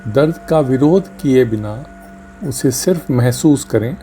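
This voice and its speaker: A man leading a guided meditation as a doctor, speaking gently and calmly in short phrases with long pauses. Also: mid-range voice. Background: music under the voice.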